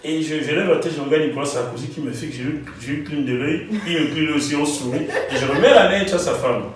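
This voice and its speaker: A man tells his own story as an interview guest, animated and fond of repeating himself for weight. He sits at a distance from the mic in a very echoey room, with faint music underneath. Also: fairly high pitch, fast. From Cameroon. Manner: animated, repeats phrases for emphasis. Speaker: guest author.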